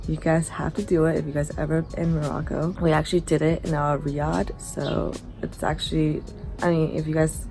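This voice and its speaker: A young woman chatting casually to camera as a travel vlogger, relaxed and drowsy, recorded at arm's length indoors. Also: low voice, gravelly. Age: young adult. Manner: relaxed, slow, drowsy after a massage. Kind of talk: casual vlog chat to camera. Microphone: camera held at arm's length. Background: background music.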